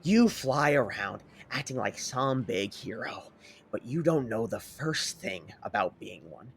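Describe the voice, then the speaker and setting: Dude doing a cold mean teen boy, low and venomous. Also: big pitch swings, measured pace, vocal fry, slight background noise. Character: cold bitter teenage boy hero rival.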